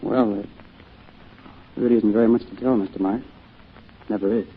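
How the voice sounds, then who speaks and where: Guy acting as shy young american sailor boy, lowish voice, polite n hesitant, old radio drama, tinny and hissy. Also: fairly even intonation, fairly quick, natural voice. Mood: subdued, grieving. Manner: hesitant, humble, folksy.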